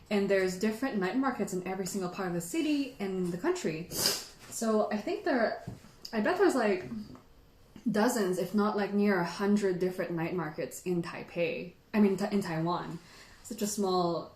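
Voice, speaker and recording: Female livestream host, medium pitch, animated rambling storyteller, casual delivery, roomy echo. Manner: animated, rambling, giggly, frequent "like". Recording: echoey room.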